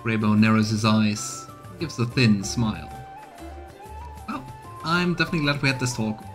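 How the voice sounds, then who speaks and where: A man's voice: lowish voice, animated, normal speed. A man in his thirties streaming a game, commenting casually and reading dialogue aloud. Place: small room indoors, on webcam. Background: game music.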